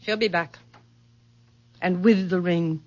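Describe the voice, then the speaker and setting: A woman's voice: fairly low pitch, steady tone, takes it slowly, heavy background noise. An actress playing an imperious, bitter middle-aged mother in a haughty, formal, commanding old-time radio style. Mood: insistent, imperious. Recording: dull, lo-fi vintage radio recording.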